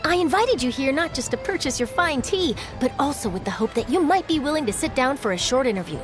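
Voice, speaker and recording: Casting: perky game reporter, young female, high animated voice, courteous, music underneath. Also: fast. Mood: eager, welcoming. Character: eager, polite, bubbly young woman news reporter. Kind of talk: video game voice acting. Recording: music behind the voice.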